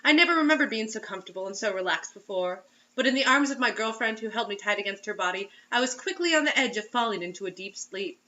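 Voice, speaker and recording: A woman's voice: medium pitch, expressive, fast talker, pushed and bright, lo-fi sound. A woman giving a theatrical, giggly, mocking read-aloud of amateur fiction, with asides. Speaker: amateur reader of bad fiction.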